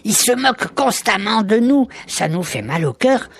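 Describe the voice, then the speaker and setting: A woman's voice: mid-range voice, big pitch swings, normal speed. A woman voicing an earnest, plucky young animal schoolchild in a children's audio story. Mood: resigned.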